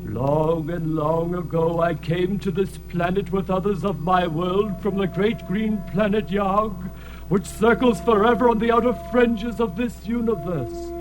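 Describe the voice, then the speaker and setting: Ancient tormented otherworldly being, fantasy audio play: high register, very slow, archaic and mournful, over music. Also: male voice. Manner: archaic, grandiose, lamenting speech. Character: ancient winged alien being, sorrowful, tormented, solemn. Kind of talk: dramatized fantasy audio drama. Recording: music laid under the voice.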